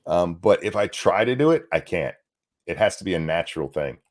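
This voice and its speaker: A man's voice: low voice, lively intonation, fairly quick. A man co-hosting a casual political commentary show, reading news aloud and breaking off into sarcastic, profane asides. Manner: sarcastic, swears freely, jumps from dry reading to mocking asides. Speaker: co-host.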